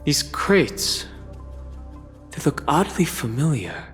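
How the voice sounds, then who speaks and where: An actor voicing a calm, observant young male traveler in a video game, reserved and thoughtful. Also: on the high side, slow pace. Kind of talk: scripted video game dialogue. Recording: long hall reverb, music behind.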